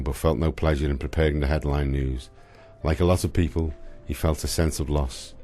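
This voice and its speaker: A man narrating a radio drama from a script in a solemn, measured manner. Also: a bass voice, warm tone.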